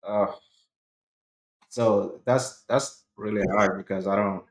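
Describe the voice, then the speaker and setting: A young man from Sudan talks as an interview guest in a relaxed, conversational way, his words full of "like" and "bro". His voice sits fairly low. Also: slow pace, low-quality recording. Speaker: guest, cloud computing worker. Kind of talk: casual interview answers.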